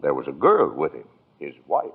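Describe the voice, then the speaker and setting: A narrow, tinny radio recording presents a kindly storyteller. His voice is deep and moves expressively as he narrates and explains in 1940s radio drama style. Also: huge pitch swings, moderate pace, slightly rough, full character voice. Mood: kindly, informative. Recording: tinny vintage radio broadcast.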